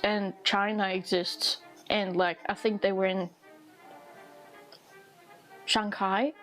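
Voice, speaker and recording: A young woman from Eastern Europe chatting casually in a rambling vlog while drawing, amused and quick to laugh, acting out the people she quotes. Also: medium pitch, low-quality recording. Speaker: vlogger.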